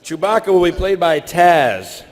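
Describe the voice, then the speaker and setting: A man's voice: sits high, lively intonation, unhurried. A man hosting a live comedy show in an echoey hall, casually announcing and joking with the cast and audience. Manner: jokey, addresses cast and audience. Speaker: host of live voice-acting show. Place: stage at a comic convention.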